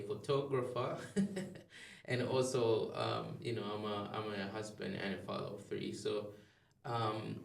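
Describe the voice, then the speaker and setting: A low-voiced man from Zambia chats loosely as a podcast guest, rambling with frequent ums and restarts. His voice has a gravelly fry. He sits back from the mic in a reverberant room. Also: distant mic. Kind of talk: casual interview chat.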